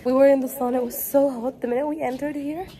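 A woman's voice: sits high, steady tone, very fast. A young woman from northern Morocco, vlogging her travels in a chatty, upbeat way while talking to her phone. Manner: chatty, upbeat, talks straight to camera. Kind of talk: casual travel vlog. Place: busy outdoor markets and streets of an old city. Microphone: phone held at arm's length. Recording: loud street crowd and traffic noise. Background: loud crowd and traffic.

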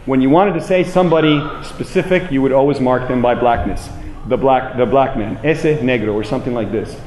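An American man delivers a public lecture with emphatic, deliberate phrasing, repeating himself for weight. His voice is medium-pitched and carries through a roomy, echoing space with some background noise. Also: a little mellow. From United States. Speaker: public speaker. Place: indoor room with plain walls, speaking to an audience. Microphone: handheld microphone, later a small clip-on mic.

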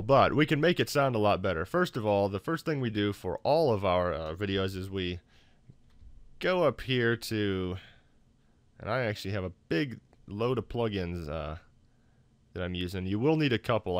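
A man hosting a casual software tutorial in an informal, self-deprecating, hesitant way. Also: fairly low pitch, expressive, brisk, dry recording. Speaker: tutorial host.

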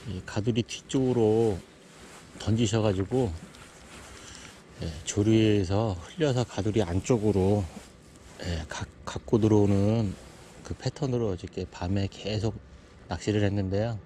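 A man's voice: lowish voice, unhurried, warm tone. Middle-aged man chatting over outdoor noise. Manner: chatty, filler-heavy, frequent "예". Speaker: fishing vlogger. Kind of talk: casual fishing commentary. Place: outdoors at a seaside fishing pond.

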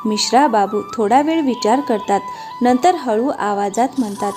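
A woman's voice: a little high, moderate pace. A woman reading scripted narration for a cartoon moral story. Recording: music behind the voice.

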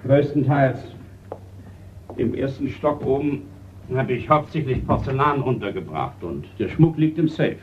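An actor playing a courteous older retired colonel in a German radio crime play, measured and a little hesitant. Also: male voice, mid-range voice, animated, natural voice. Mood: businesslike, courteous. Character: retired colonel, older male art collector, courteous Scottish host. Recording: dull, noisy old radio recording.

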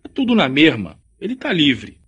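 An actor playing an insistent, jealous young rural suitor in a radio drama, with a Brazilian accent. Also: male voice, on the high side, huge pitch swings, moderate pace, a bit bright, slightly rough, dull audio, exaggerated voice. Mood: jealous, pleading.

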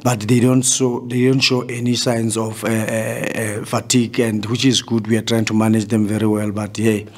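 South African coach, man, forties, low flat delivery, measured, restarts a lot, table mic in press room. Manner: measured, restarts phrases often. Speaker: football coach. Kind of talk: post-match press conference answers. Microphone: table mic in front.

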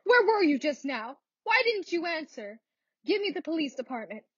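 A woman's voice: extremely high pitch, big pitch swings, moderate pace, no echo, lo-fi sound. A woman playing a frantic, demanding bedridden wife in a radio thriller, with an indignant, melodramatic manner. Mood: desperate, agitated. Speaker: actress in a student radio play. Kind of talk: theatrical radio thriller acting.

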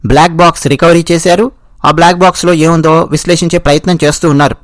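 A man with a high-pitched voice and a Telugu accent delivers explanatory news commentary. He teaches as he goes, spelling out terms and restating points. The recording is close and dry, with no room sound. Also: steady tone, quick pace, a bit bright, no room sound. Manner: didactic, repeats and spells out points. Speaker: host of an explainer video channel.

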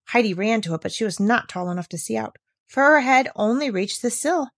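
A woman narrating a children's storybook aloud as an audiobook, with expressive delivery. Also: fairly high pitch, fairly quick, no echo, studio quality.

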